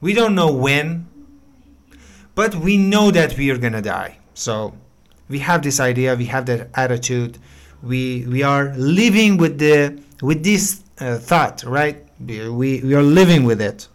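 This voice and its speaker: Encouraging young man, smooth voice. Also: mid-range voice, unhurried. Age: 20s. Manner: encouraging, hesitant with many fillers and restarts. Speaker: English learner giving feedback. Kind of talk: casual voice message.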